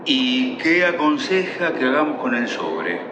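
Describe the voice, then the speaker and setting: A man's voice: mid-range voice, sing-song, a little slow, muffled recording. An actor playing a stern, formal older father in a radio play. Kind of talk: theatrical radio play acting. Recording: echoey, noisy recording.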